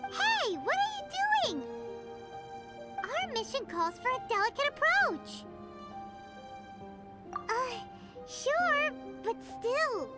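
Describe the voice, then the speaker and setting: A woman voicing a small, cheeky, excitable childlike fairy companion in a video game, exclaiming as she talks. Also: very high voice, takes it slowly, pauses often, exaggerated voice. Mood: indignant. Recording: loud music behind the voice.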